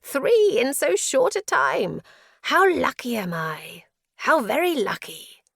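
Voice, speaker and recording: Woman voicing a breathy, gleeful madman, wildly erratic. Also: mid-range voice, huge pitch swings, slow, a bit bright, dry recording, studio quality, voice pushed up, exaggerated voice. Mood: manic glee. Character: deranged, delighted male prisoner in his forties, scarred madman.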